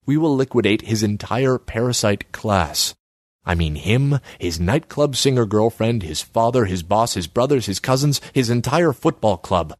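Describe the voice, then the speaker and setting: Pompous male politician for audiobook, acted by a male reader: airy, wordy, self-righteous, with animated pitch and dry sound. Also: fairly quick, no room sound.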